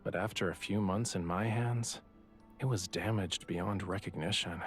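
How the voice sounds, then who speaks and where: An actor playing a self-assured yet quietly vulnerable young artist in a romance game, speaking softly and intimately with a teasing touch. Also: male voice, deep voice, moderate pace, faint music behind, natural voice. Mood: wistful.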